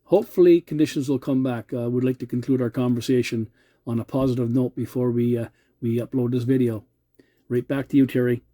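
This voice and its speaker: Very mellow, dark-voiced man on radio. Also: medium pitch, fairly even intonation. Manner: polite, measured, hesitant with repeated words. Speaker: amateur radio operator hosting a video channel. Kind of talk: casual radio chat. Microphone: cell phone filming the radio.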